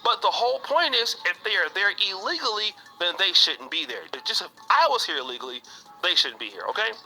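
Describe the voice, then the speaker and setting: Casting: high, lively man, very bright tone, preachy opinion clip with music behind. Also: fairly quick, gravelly, low-quality recording.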